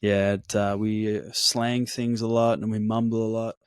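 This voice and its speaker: A man's voice: on the low side, moderate pace, clear, resonant tone, dry recording, studio quality. A man from Melbourne whose Australian accent is blending toward American, a podcast guest talking casually and loosely, full of "like" and "yeah". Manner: relaxed, slangy, says "like" and "yeah" often.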